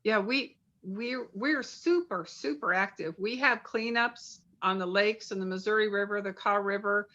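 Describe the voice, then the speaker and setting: A woman's voice: medium pitch, normal speed, few pauses, bright, forceful tone, muffled recording. A woman from a paddling club asking questions on a video call, earnest and restarting her sentences.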